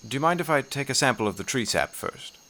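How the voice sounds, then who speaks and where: A man playing an inquisitive, educated professor in an audio horror play, speaking politely, formally and a little haltingly. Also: mid-range voice, sing-song, bright, forceful tone. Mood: curious, polite. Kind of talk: audio horror drama acting. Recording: insect-like ambient noise behind dialogue.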